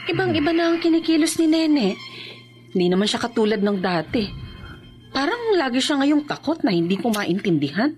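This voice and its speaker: A woman's voice: high-pitched, sing-song, moderate pace, a little mellow, soft background music. A woman playing an anxious, caring mother in a horror radio drama, earnest and questioning.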